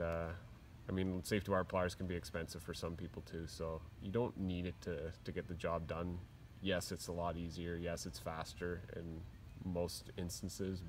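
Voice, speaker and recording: A man's voice: deep voice, a little hiss or hum. A man who works as an aircraft mechanic, chatting casually and rambling a little as he shows his tools at a workshop bench. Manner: casual, rambling, plain-spoken with fillers. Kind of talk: casual tool show-and-tell. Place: workshop at a steel workbench.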